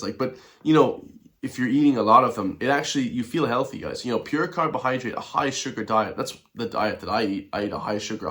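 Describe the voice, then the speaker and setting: An Irish man hosting a reaction video, chatting casually to his viewers in a blunt, excitable way and addressing them as lads and guys. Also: mid-range voice. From Ireland. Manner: blunt, with mild swearing.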